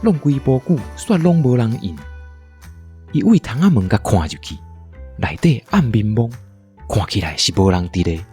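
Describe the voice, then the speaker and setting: Animated Taiwanese male storyteller. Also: fairly low pitch, moderate pace, faint music behind. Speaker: narrator. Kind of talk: comic storytelling narration for a radio drama.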